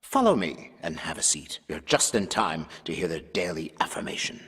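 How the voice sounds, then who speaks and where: An actor playing a solemn, courtly older recruiter for a death cult in a video game, speaking formally and theatrically. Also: male voice, low voice, very animated, moderate pace, fairly bright tone, breathy edge, no echo, studio quality, heavily acted. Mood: welcoming. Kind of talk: video game character acting. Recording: dramatic music behind.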